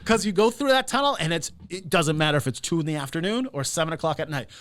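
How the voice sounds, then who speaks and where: A man from Massachusetts in casual podcast chat, upbeat and quick to laugh, asking eager questions. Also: on the high side, expressive, a bit bright, no room sound. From Massachusetts, USA.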